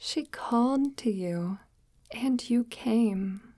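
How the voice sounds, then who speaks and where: Woman as a slow, soft-whispering forest witch. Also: on the high side, no echo. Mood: reverent, coaxing. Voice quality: soft, breathy, half-whispered. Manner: formal, measured, deliberate, gently patronizing. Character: sinister forest witch, calmly menacing, devout, condescendingly tender. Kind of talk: ASMR audio roleplay, slow intimate monologue.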